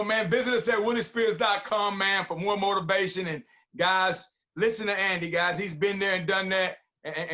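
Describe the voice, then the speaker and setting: High-voiced male motivational coach in his forties. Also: pushed and bright. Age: 40s. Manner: preacherly, rhythmic repetition, direct exhortation. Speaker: guest, football coach and motivational speaker. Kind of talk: motivational pep talk.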